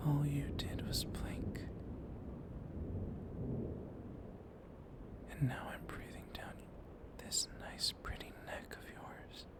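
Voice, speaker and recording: A man voicing a civil, softly dominant, centuries-old vampire librarian in an ASMR roleplay, hushed and often slipping into whispers. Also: a little high. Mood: teasing, seductive. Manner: hushed, breathy, often whispering, teasing with soft chuckles. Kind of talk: ASMR roleplay, intimate one-sided dialogue. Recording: noisy storm ambience behind voice. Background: thunder and steady ambient noise.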